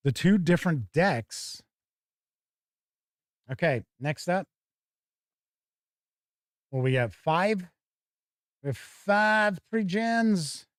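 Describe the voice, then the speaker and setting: A man hosting a tabletop game show, giving casual, halting live unboxing commentary and thinking aloud. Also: fairly high pitch, no echo, clean studio sound.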